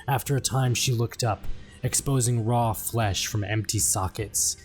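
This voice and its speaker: A man narrates grim fantasy lore in a medium-pitched voice. His delivery is solemn and portentous, clearly scripted and dramatic. Faint music plays behind him. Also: steady tone, unhurried. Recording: background music under the voice.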